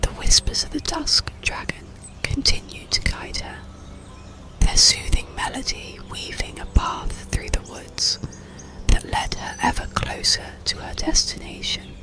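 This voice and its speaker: Slow, whispering bedtime storyteller. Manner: soothing, deliberate, softly whispered. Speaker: audiobook storyteller.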